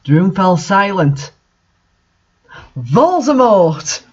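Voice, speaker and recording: High-pitched man, sardonic story reader. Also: a little slow, muffled recording. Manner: exasperated, sardonic. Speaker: reader and commentator of bad fan fiction. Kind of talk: story reading with mocking commentary.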